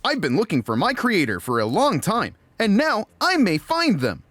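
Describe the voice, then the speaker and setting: A man's voice: sits high, huge pitch swings, moderate pace, a bit bright, dry recording, heavily acted. Guy doing an upbeat innocent robot telling his story, super bouncy intonation, close dry sound. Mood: hopeful. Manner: earnest, guileless, upbeat. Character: cheerful naive friendly male robot. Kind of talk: audiobook narration in character.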